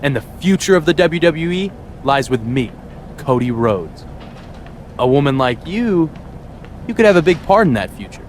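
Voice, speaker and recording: An actor playing a smug, ambitious male pro wrestler in a video game cutscene, smooth-talking and cocky. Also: on the high side, sing-song, normal speed, slight background noise.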